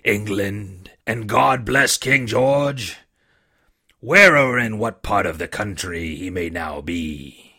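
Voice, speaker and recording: A man voicing a cruel, cold old blind beggar with a raspy voice and an odd, menacing sing-song manner in a storybook reading. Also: sits low, voice pushed down, full character voice. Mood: wheedling sing-song. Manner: soft-spoken odd sing-song turning to harsh commands.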